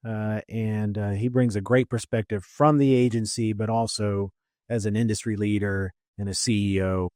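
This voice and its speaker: A man's voice: fairly low pitch, fairly even intonation, moderate pace, a little mellow, dry recording, studio quality. A man hosting a podcast, opening with upbeat welcoming patter before moving into the interview. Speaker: podcast host.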